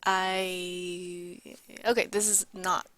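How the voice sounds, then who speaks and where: A woman in her thirties from Canada, a guest in a casual interview, speaking in a relaxed way with many fillers. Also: lowish voice, very slow, no room sound.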